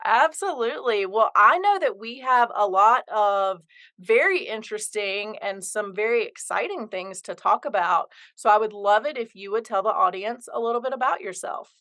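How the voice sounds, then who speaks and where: Woman podcast host, medium pitch, eager and upbeat. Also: lively intonation, moderate pace, bright, forceful tone. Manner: upbeat and enthusiastic. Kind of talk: podcast interview hosting.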